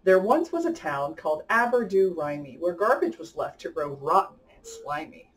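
A woman's voice: on the low side, moderate pace. Woman hosting children's storytime, slightly distant mic. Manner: animated, addresses children with questions. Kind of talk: read-aloud storytime for young children. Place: small indoor room.

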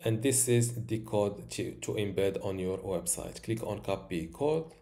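A man in his thirties walking through a software tutorial step by step, with occasional fillers, recorded at a home desk. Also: lowish voice, a little hiss or hum. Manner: slow, step-by-step instructions with fillers. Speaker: tutorial host.

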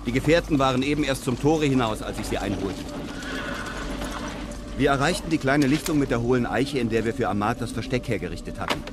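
An actor playing a European traveller who narrates his desert adventures in a measured, literary way. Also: medium pitch, lively intonation, background noise, natural voice. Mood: measured storytelling. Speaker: narrator of an audio drama. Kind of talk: scripted first-person adventure narration.

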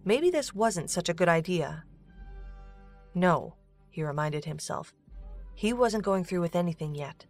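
A woman's voice: on the low side, lively intonation, unhurried, pauses often, a little mellow. A woman narrator reading a scripted story for an audio drama. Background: music.

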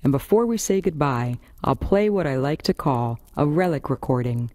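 American woman, radio host, scripted storytelling, soft dark tone, very dry recording. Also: extremely low pitch, dry recording. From Florida, United States. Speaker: variety radio show host.

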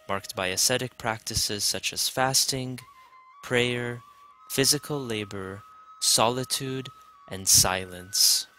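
A man reading a scripted documentary narration in a measured, even way. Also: on the low side. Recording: music bed underneath.

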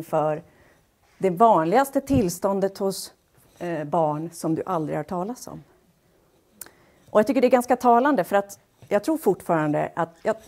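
A woman giving an explanatory public lecture in a measured, pausing and somewhat hesitant way. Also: on the low side, darkish tone. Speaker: lecturer, speech-language pathologist. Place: wood-panelled lecture hall, indoors. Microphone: headset.

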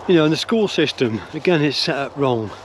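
A man's voice: a little high, expressive, fairly quick, slight background noise. An older man talking while walking his dogs through woodland, musing and hesitant, with many fillers and restarts, recorded close on a handheld camera. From England. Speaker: vlogger. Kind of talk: rambling walk-and-talk opinion vlog. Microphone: phone or camera held close at arm's length.

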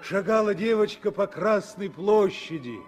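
A man narrating a 1960s radio drama as a storyteller, with expressive, dramatic phrasing. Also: high-pitched, a little slow, faint music behind.

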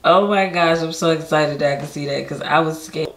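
An American woman in her thirties with a deep early-morning voice, chatting candidly and informally to camera. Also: a little fast. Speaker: vlogger. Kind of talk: casual chatty vlog to camera. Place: home kitchen and upstairs rooms, indoors.

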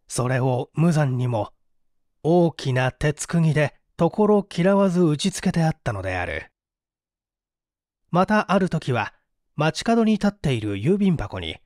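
A man's voice: a little high, darkish tone, no room sound, studio quality. A man reading a mystery story aloud in a formal, theatrical style of dramatic narration. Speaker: audiobook reader.

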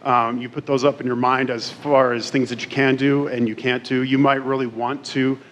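A man in his forties from Colorado speaks into a podium microphone on a school auditorium stage. He talks to the students in a loose, joking way, often restarting his sentences, and his pitch sits in an ordinary middle range. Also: little pitch movement, normal speed, fairly bright tone, a little hiss or hum. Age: 40s. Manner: self-deprecating, chatty, many restarts. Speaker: career expo speaker. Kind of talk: casual speech to students. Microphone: podium mic on stand.

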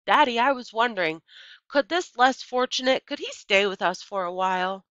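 A woman's voice: fairly high pitch, animated, moderate pace, bright, forceful tone, dry recording, voice pushed up. A woman voicing an earnest young girl in a storybook, politely coaxing and pleading with emphasis. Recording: dull, lo-fi computer microphone sound.

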